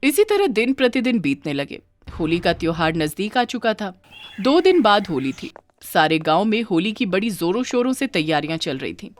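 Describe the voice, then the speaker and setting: A woman's voice: medium pitch, very animated, normal speed. A woman reading a scripted children's moral story as its narrator.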